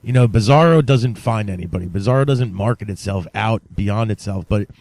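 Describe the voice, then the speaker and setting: A man's voice: on the low side, fairly quick, a little mellow. A man hosting a podcast in casual, enthusiastic conversation, repeating himself for emphasis. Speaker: podcast host. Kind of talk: casual interview talk.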